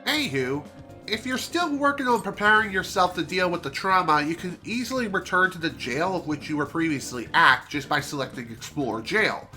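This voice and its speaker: Bright-voiced congested man streaming a game. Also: fairly high pitch, animated, normal speed. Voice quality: nasal, congested. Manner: chatty, self-deprecating asides. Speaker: streamer playing a video game. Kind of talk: casual let's-play commentary. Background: game music.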